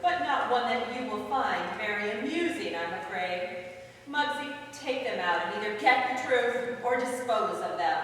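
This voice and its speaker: A woman's voice: a little high, lively intonation, normal speed, fairly bright tone. A woman playing a suave, commanding crime boss in a noir radio parody, arch and theatrical with polite menace. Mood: menacing, impatient. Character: scheming female villain boss, suave and commanding. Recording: echoey hall recording from far off.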